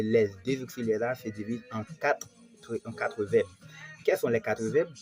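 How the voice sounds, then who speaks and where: A man's voice: medium pitch, darkish tone. A man from Haiti, a Spanish teacher, giving a televised grammar lesson in a repetitive style that stresses key words.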